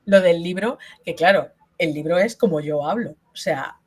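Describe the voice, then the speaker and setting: A woman's voice: fairly low pitch, normal speed, husky. A middle-aged woman from Spain, an author speaking frankly and bluntly in a webinar interview, with many fillers and restarts, over a video call. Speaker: invited author and linguist.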